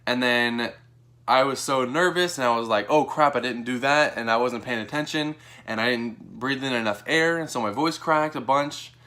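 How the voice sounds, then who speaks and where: A young American man tells a story in a casual vlog style. His voice sits at an average pitch for a man. He is animated and informal, slipping into quoted dialogue as he goes. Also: moderate pace. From Texas, United States. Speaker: vlogger.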